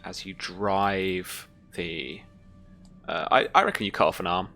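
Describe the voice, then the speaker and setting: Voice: sits low, unhurried, creaky, pushed and bright, soft background music. A game master running a tabletop roleplaying game, informal in manner, narrating the action.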